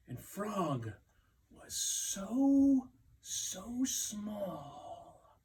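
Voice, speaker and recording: A man reading aloud to young children as a storytime teacher, expressive, with dramatic pauses and stretched emphasis. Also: a little high, far from the mic.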